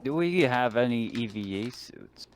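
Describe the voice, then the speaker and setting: A man's voice: mid-range voice, lively intonation, measured pace, slight background noise. A man talking casually as a player in a tabletop roleplaying session. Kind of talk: casual game-session chat.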